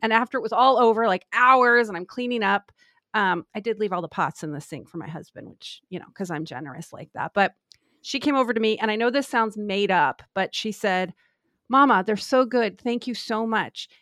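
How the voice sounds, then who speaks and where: A woman's voice: mid-range voice, moderate pace, fairly bright tone, no room sound, studio quality. A woman telling a rambling, self-deprecating story in a casual podcast chat. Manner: chatty, self-deprecating, many fillers. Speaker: podcast co-host, screenwriter.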